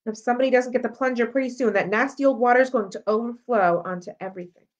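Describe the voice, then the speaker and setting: A woman reading the part of a troubled, guarded young schoolgirl in a storybook, earnest and halting. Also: a little high, natural voice. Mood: anxious, confessing. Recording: dull lo-fi audio.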